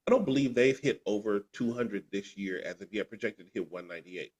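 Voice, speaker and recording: A man's voice: lowish voice, lively intonation, brisk, a little mellow, poor audio. Congested man recapping fantasy football. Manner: rambling, restarts and "uh" fillers. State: sick, congested. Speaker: podcast co-host.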